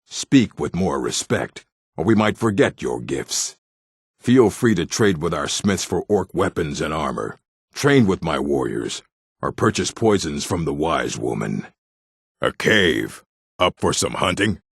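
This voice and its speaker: A gruff orc from a fantasy video game, with a very low, husky, gravelly voice. He is blunt, stern and proud, and his delivery swings widely in pitch. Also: sing-song, a little slow, no room sound, studio quality, full character voice. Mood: stern, businesslike, then gruff, curt. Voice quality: gravelly, rough. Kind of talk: video game character voice lines.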